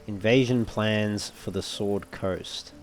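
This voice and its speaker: A man's voice: on the low side, steady tone, slow, soft background music. A man giving casual commentary over a video game, sometimes reading item descriptions aloud. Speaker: let's play host.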